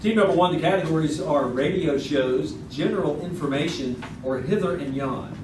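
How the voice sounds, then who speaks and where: A man's voice: on the high side, moderate pace, close to an everyday voice. A man playing the formal, declamatory announcer of a 1950s radio game show in a theater. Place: live stage performance in a small theater. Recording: echoey, distant, noisy theater recording.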